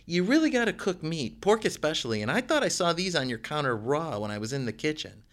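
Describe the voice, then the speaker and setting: A man's voice: on the high side, very animated, brisk, fairly bright tone, pitched above the performer's own voice. A man voicing a blunt, impatient young woman who complains openly. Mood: doubtful.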